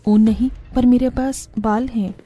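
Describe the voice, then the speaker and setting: A woman's voice: fairly high pitch, fairly even intonation, a little slow, muffled recording. A woman voicing an earnest, resourceful young village wife and mother in a Hindi cartoon story. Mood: hopeful, persuasive.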